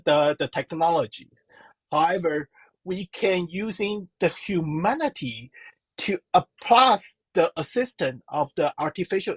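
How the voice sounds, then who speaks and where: A slow-spoken woman gives interview answers in a husky voice. She halts often and fills the gaps while she searches for her words. The sound is dry and close. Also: lowish voice, no room sound, low-quality recording. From Taiwan. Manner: halting, searching for words, many fillers. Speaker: professor and guest.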